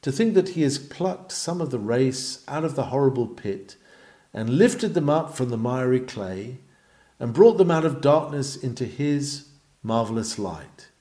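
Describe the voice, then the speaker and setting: A man reads a historical sermon with earnest, oratorical emphasis. His voice is mellow and mid-pitched, and it rises and falls in a very lively way as he works through the formal text. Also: measured pace. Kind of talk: sermon read aloud from a script.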